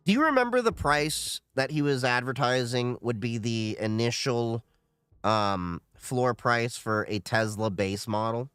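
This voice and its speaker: A man's voice: mid-range voice, a little slow, fairly bright tone, clear, resonant tone, dry recording, clean studio sound, soft background music. A man hosting a casual livestream, reading chat questions aloud and giving opinionated answers.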